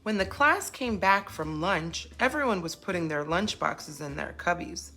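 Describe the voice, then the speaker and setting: Woman as an upset young boy zebra, animated. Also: lowish voice, normal speed, faint music behind, heavily acted. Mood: troubled.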